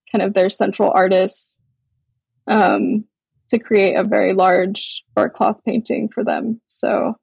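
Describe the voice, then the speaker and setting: A young adult woman from Hawaii, a guest artist speaking in a relaxed, thoughtful way with many pauses and restarts. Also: mid-range voice, creaky, breathy edge, dry recording. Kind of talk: casual interview over a call.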